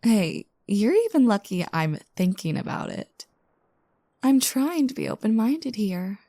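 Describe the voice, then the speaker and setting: A woman playing a playful, teasing new girlfriend just waking up, speaking softly and intimately in a roleplay audio. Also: mid-range voice, big pitch swings, no echo, studio quality. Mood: mock bossy, teasing. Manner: soft, breathy asides, teasing, unhurried.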